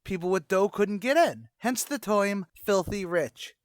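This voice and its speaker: An actor playing a brash, self-important tavern manager who talks pompously in old-time radio comedy style. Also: male voice, high-pitched, expressive, unhurried, dry recording, clean studio sound. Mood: pompous lecturing. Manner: pompous, malapropism-prone, colloquial.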